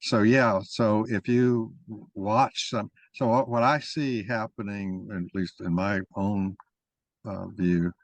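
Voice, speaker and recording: A man arguing a point in informal discussion, halting and rephrasing as he goes as the moderator. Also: lowish voice, fairly even intonation, dry recording, low-quality recording. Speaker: moderator and main speaker of a mock-trial discussion.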